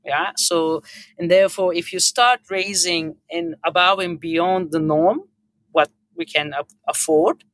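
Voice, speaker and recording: A woman's voice: lowish voice, steady tone, unhurried, no echo. A middle-aged woman with a Turkish accent speaking as a hotel executive in an unscripted panel discussion, earnest and emphatic, repeating words for emphasis. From Turkey.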